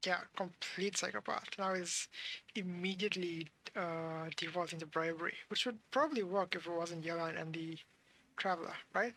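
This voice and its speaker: Creaky, bright-voiced streamer thinking aloud. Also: mid-range voice, normal speed. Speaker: game streamer. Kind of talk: casual playthrough commentary.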